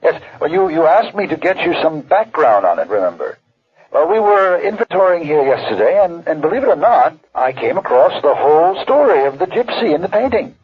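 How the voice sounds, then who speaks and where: A polite, fidgety male art dealer in a radio play. His voice is very husky and rather high, and he stammers apologetically on a dull, dry recording. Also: very animated, a little fast. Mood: hesitant, eager. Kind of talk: radio drama acting. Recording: dull, dry old radio drama audio.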